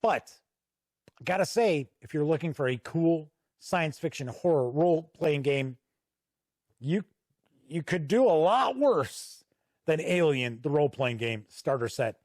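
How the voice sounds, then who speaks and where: A man's voice: a little high, dry recording, studio quality. A man hosting a casual, unscripted video review, speaking conversationally and leaning on key words for emphasis. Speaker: tabletop game reviewer and channel host.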